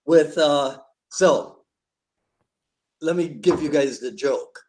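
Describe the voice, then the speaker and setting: A man from Barbados, hosting a casual live-stream chat, jokey and quick to laugh, often repeating words as he goes. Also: on the high side, a little mellow. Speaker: podcast host.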